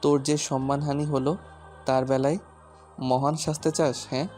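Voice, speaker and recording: Male actor as angry protective husband, hard commanding delivery, narrow even pitch, audio story, music underneath. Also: normal speed, natural voice. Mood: furious, threatening. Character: fierce, stubborn, protective husband in a rage. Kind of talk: dramatised audio story acting.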